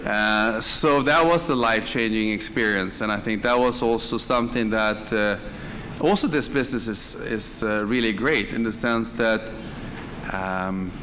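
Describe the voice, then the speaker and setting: A man's voice: on the low side, unhurried, bright, forceful tone, big-room sound. Swedish-accented man speaking over background noise. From northern Sweden. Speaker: conference panelist, direct selling executive. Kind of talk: unscripted personal storytelling on a panel. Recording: live conference room, clear background noise.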